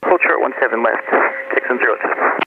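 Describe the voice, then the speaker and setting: A man's voice: medium pitch, quick pace. A man speaking as a pilot, giving clipped procedural readbacks over an aviation radio. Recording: tinny, noisy aviation radio transmission.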